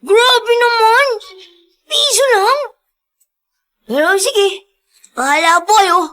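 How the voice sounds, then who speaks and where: A talkative orphan street child in a radio drama. The voice is extremely high and bright, full of cheek, always pleading and bantering for a coin. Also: sing-song, slow, heavily acted. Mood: bantering, playful. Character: cheeky, chatty young orphan street windshield-washer child.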